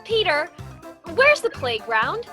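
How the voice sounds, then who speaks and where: A woman's voice: extremely high pitch, very animated, slow, pushed and bright, soft background music, full character voice. A woman voicing a bossy girl who scolds her friend. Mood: scolding. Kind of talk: amateur radio play acting.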